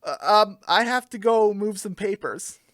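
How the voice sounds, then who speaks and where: A man's voice: high-pitched, expressive, unhurried, a bit bright, no echo. A man playing a nervous, evasive politician who stammers through a radio game-show parody. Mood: flustered, evasive. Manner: stammering, flustered.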